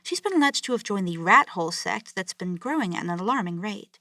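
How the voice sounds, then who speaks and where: A woman's voice: medium pitch, lively intonation, a little fast, bright, forceful tone, no echo, clean studio sound. A woman playing a grave, formal news anchor delivering urgent bulletins in an audio drama. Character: grave female news anchor reporting a city's collapse.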